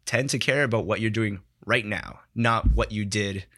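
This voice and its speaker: A man's voice: fairly low pitch, moderate pace, fairly bright tone. A man chatting informally as a studio podcast guest, thinking aloud as he talks. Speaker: podcast guest, digital content creator. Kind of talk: casual chat.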